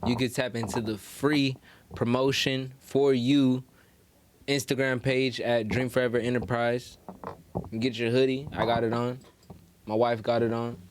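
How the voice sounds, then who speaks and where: A man's voice: medium pitch, level tone, measured pace, slight background noise. A man chatting casually as a podcast guest, with slangy, streetwise, swear-heavy phrasing. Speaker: guest rapper and promoter.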